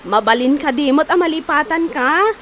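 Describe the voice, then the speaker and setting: A woman's voice: high voice, moderate pace, fairly bright tone, slight background noise. A woman playing a polite village wife in an Ilocano comedy drama, speaking with an Ilocano accent. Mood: polite, hesitant. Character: polite village wife inviting neighbours to her birthday.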